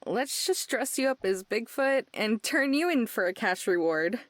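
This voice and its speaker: A woman's voice: a little high, expressive, normal speed, no echo, close to an everyday voice. An actress playing a wry, downhearted young woman in a visual novel, with a dry, understated delivery. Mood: dry, subdued. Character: young woman, wry, teasing but downhearted road-tripper. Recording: game audio with music behind.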